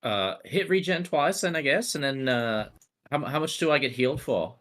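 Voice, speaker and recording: A man's voice: on the high side, animated, normal speed, a bit bright. A man chatting casually as a player in an online tabletop roleplay game.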